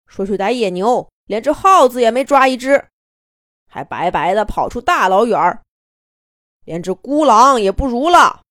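A woman voicing an adult wolf who bluntly and loudly challenges the pack's king. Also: on the high side, expressive, normal speed, no echo, studio quality. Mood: defiant. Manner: loud, blunt, mocking.

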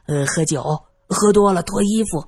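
A woman's voice: fairly low pitch, normal speed, a little mellow, husky, no room sound, studio quality, soft background music. A woman voicing a giddy, petulant, simple-minded man who babbles and taunts like a child in an audiobook. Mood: giddy, teasing.

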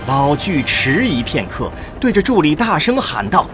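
A man reading scripted narration for a science fiction audio drama in a deliberate, dramatic way. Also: fairly high pitch, big pitch swings, music in the background.

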